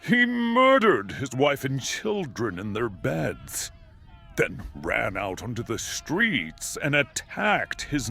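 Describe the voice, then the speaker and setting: A grim, zealous warrior in an audio drama. His gravelly, forceful voice swings widely in pitch, slow and emphatic, over music. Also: exaggerated voice.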